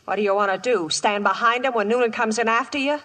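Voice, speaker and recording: A woman's voice: a little high, fast, fairly bright tone, lightly acted. An American actress playing a defiant, weary captive woman in a western radio drama, dramatic and pointed. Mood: scornful defiance. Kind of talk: 1950s radio drama acting and narration.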